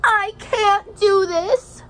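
Woman as an emphatic, high-pitched little ninja girl. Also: drawn-out words, a bit bright, heavily acted. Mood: frustrated, negative. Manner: emphatic, exclaiming. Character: cheerful, grateful little girl cartoon ninja, sometimes discouraged. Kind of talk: storybook character voice. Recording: faint outdoor background noise.

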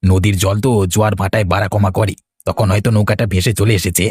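A man voicing an irritable, gruff village fruit seller in a cartoon ghost story. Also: on the low side, steady tone, a little fast, a little mellow, no echo. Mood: irritated.

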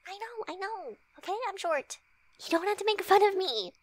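A woman playing a flirty, shy, teasing best friend in an intimate ASMR roleplay, with breathy, hesitant, soft speech. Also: extremely high pitch, lively intonation, normal speed, no echo. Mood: playful teasing. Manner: breathy whispering, hesitant, giggly.

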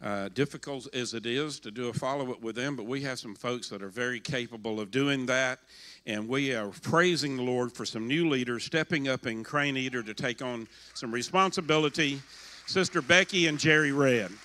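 An older man makes church announcements into a handheld mic from a sanctuary stage. His tone is bright and forward, folksy and halting with easy fillers. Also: mid-range voice. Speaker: pastor.

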